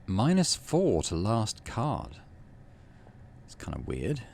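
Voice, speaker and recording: A man's voice: on the low side, wildly swooping pitch, slow, gravelly, darkish tone. A middle-aged man playing through a game, chatting casually and reading its narration aloud. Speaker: gaming channel host, player.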